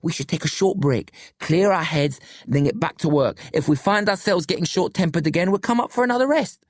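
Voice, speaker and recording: Narrator doing bossy worried boy taking charge in an audiobook, urgent, higher pitch. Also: brisk, dry recording, studio quality, natural voice. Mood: wary. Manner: stern, urgent, soothing by effort. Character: wary, bossy boy trying to keep control.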